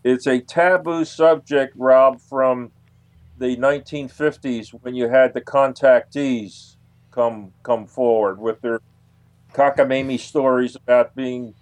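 A man explaining things as an interview guest, in a halting way that often repeats words. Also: medium pitch, steady tone, smooth, dry recording. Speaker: guest, UFO researcher and author.

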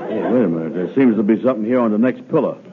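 An actor playing a slow, gullible, weary man in an old radio comedy, with a drawling, ungrammatical manner. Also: on the low side, over a noisy crowd, lightly acted. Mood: weary, puzzled. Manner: drawling, ungrammatical, muttering to himself. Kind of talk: 1950s radio comedy acting. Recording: narrow phone-like old radio recording, loud noise.

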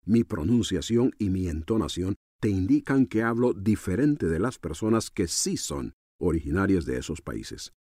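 A man preaching a radio sermon in a deliberate, expository manner. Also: low voice, sing-song, dark tone, no room sound, studio quality. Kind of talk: radio sermon in Spanish, measured teaching.

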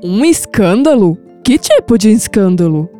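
An actress playing an anguished young Brazilian granddaughter in an audio drama, emotional and hesitant, with sighs. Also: female voice, mid-range voice, very animated, a little slow, warm tone. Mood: curious, startled. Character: anguished, skeptical young granddaughter unsettled by past-life visions. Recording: music bed under the voice.

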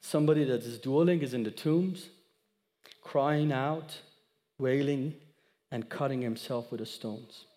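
A man in his thirties from Iran, speaking English with an Iranian accent, giving an expressive sermon that mixes scripture with his own testimony. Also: mid-range voice, a little slow, long reverb tail. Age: 30s. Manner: expressive storyteller, acts out dialogue, audience laughter. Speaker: guest speaker.

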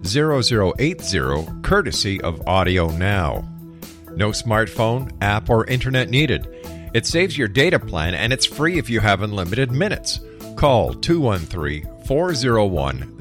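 Lively male host reading radio ads. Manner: upbeat, salesy, with a lot of swing in pitch. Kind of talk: scripted ad read. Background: music.

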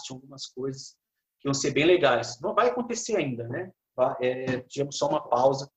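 A man with a Brazilian accent, relaxed and chatty, telling his story as a podcast guest. His voice sits a little high, and he often stops, restarts and drops in fillers. The recording has a roomy echo. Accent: Brazilian Portuguese. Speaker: guest guitarist. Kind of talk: casual podcast chat.

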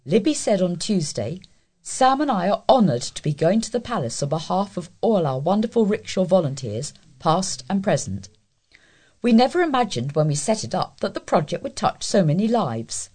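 A woman's voice: medium pitch, a little mellow, slightly rough. A woman reading scripted local news as a volunteer newsreader.

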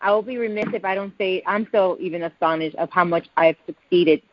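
A woman in her thirties with a Puerto Rican accent, telling her life story as a podcast guest in a fast, excited way. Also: lowish voice. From Puerto Rico. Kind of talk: storytelling interview. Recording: dull, lo-fi podcast audio.

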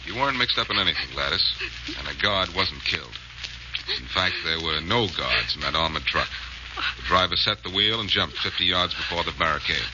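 A man's voice: deep voice, lively intonation, brisk. An actor playing a confident amateur detective hero in a 1940s radio drama, assured and reassuring as he explains. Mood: assured, teasing. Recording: hissy muffled old radio broadcast.

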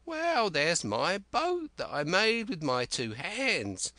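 A wild old castaway who rambles on eagerly in rustic dialect, his voice jumping high and swooping all over, as a male narrator puts him on. He talks slowly and brightly, close and dry. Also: huge pitch swings, no room sound, exaggerated voice. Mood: wary.

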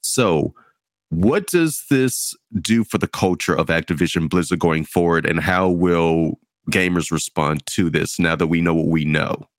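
A man with a very low voice hosts a casual gaming podcast. He talks in a measured way, pausing on fillers as he steers the conversation. His voice sounds close and dry. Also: no room sound. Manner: measured, leans on fillers while steering the topic. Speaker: host.

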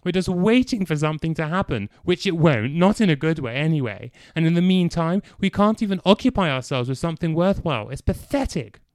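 A man voicing an exasperated man who shouts in bitter frustration, his voice strained with a tremor. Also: medium pitch, steady tone, fast, few pauses, smooth, dry recording, clean studio sound, close to an everyday voice. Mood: furious.

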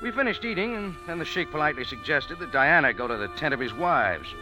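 An actor playing a wisecracking American cafe owner who narrates with a dry, hardboiled manner in a 1940s radio drama. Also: male voice, fairly high pitch, expressive, quick pace, lightly acted, low-quality recording. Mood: narrating. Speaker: narrator and lead. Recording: old radio broadcast with music.

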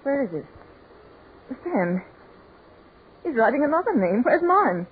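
An actress playing a frightened, pleading woman in a 1940s radio horror drama, with halting, theatrical delivery. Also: high voice, sing-song, phone-quality sound. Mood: puzzled. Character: Linda, frightened woman, pleading and easily overcome by terror. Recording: noisy, band-limited 1940s radio recording.

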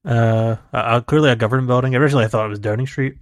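Man, podcast co-host, rambling casual chat, mid pitch, dry close sound. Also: steady tone, racing, dry recording. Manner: chatty, rambling, laughs easily.

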